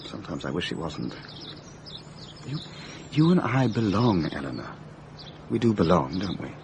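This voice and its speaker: A man's voice: deep voice, sing-song, normal speed, creaky, darkish tone, a little hiss or hum, heavily acted. An actor playing a lovesick, confused young man in a British radio mystery drama, emotional and hesitant. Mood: yearning, troubled.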